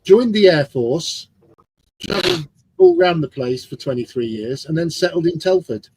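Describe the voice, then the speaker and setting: A middle-aged man from England with a fairly high, lively voice chats in a self-mocking way. Faint noise hums behind him on the line. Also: moderate pace, gravelly, low-quality recording. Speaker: co-host. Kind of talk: casual chat.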